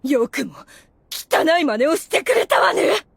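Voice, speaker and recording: A woman's voice: high-pitched, sing-song, measured pace, creaky, no echo, heavily acted. A woman playing a proud, scheming demon woman in an anime audio drama, with theatrical, formal feminine speech. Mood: accusing.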